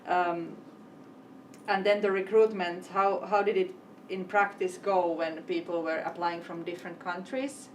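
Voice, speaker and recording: A woman's voice: fairly low pitch, flat delivery, a little slow, a little hiss or hum. A woman hosting a live event panel, asking long, winding questions. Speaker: interviewer and event host. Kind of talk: panel interview. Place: event venue. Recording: distant mic with faint ambience.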